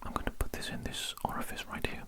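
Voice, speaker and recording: Whispered, fussy ASMR doctor roleplay. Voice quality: breathy unvoiced whisper. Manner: slow, repetitive murmuring, politely fussy. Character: polite, curious, fussy doctor examining an alien. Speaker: ASMR performer role-playing a doctor examining a patient.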